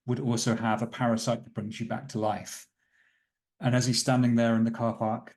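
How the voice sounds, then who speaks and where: A man playing a tabletop roleplaying game, thinking aloud as he narrates what his character does in a thoughtful, deliberate way. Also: fairly low pitch, darkish tone.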